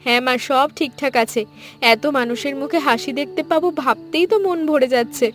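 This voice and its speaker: A woman's voice: sits high, fairly quick, fairly bright tone. A woman voicing a dutiful, cheerful young daughter-in-law with a reassuring, earnest manner in a cartoon. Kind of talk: dramatized cartoon dialogue. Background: background music.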